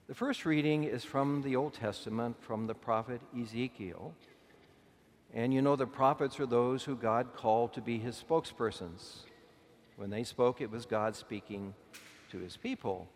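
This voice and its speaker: A middle-aged man, a bishop, preaching a sermon in a conversational way, slowing down to stress key words. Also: mid-range voice. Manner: conversational preaching, slow deliberate emphasis. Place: church. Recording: long church reverb.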